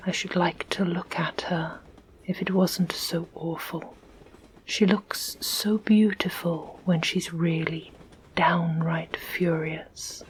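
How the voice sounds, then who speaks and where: A dreamy little girl from an old storybook murmurs in a hushed whisper, voiced by a woman narrator. She speaks very slowly, her tone a touch low. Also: flat delivery, slight background noise, low-quality recording. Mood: wistful. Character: dreamy young girl, youngest sister.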